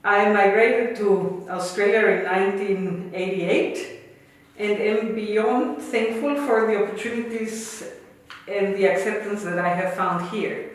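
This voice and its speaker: A woman's voice: medium pitch, measured pace. Earnest Guatemalan-accented woman in an echoey room. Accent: Guatemalan Spanish. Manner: earnest, formal phrasing. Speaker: interview guest, campaigner. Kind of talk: prepared personal story, read aloud.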